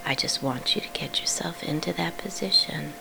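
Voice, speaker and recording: Low, creaky, whispery woman guiding meditation. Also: normal speed, rough recording. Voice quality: breathy, often whispery. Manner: gentle, reassuring instruction. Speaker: meditation guide. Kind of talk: guided sleep meditation, hushed and unhurried. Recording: clearly audible background hum.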